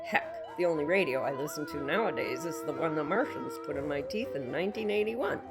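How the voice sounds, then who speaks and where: A woman playing a kooky, folksy, alien-obsessed shopkeeper who pitches theatrically in a parody local radio commercial. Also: animated, fairly quick. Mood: folksy, proud. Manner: theatrical, folksy, dramatic salesmanship. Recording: music bed under the ad.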